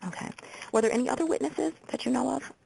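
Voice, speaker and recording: A woman playing a procedural, businesslike hotline call taker with an American accent and a husky, creaky voice, in a simulated intake call. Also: sits high, fast talker, mellow, heavily acted, low-quality recording. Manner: procedural, reads details back methodically. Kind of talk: simulated phone intake interview for training.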